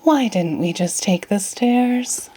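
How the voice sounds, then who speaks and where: A woman's voice: fairly high pitch, lively intonation, slow pace, mellow, breathy edge, exaggerated voice. A woman voicing a polite, adventurous young girl in a storybook, earnest and exclaiming. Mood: puzzled, protesting.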